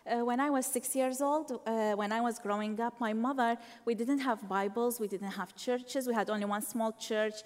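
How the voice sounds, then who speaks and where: A woman in her forties from Iraq with an Iraqi Arabic accent, giving an earnest, measured faith testimony in a church. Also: fairly high pitch, fairly even intonation, darkish tone, in a large hall. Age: 40s. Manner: earnest, measured, non-native phrasing. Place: church sanctuary.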